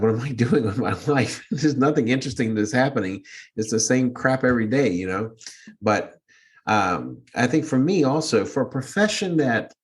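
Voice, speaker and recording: Man with a big, assertive voice. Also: on the low side. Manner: assertive, frank, wry. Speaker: teacher, podcast co-host. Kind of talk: reflective casual discussion.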